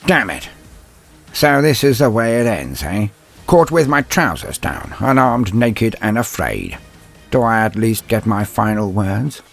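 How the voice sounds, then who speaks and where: A man voicing a gruff, defiant old inquisitor in an audio story, mocking and theatrical, with a gravelly, husky voice. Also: on the low side, very animated, unhurried, faint music behind, exaggerated voice. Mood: defiant, resigned. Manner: theatrical, taunting, tags questions with "eh".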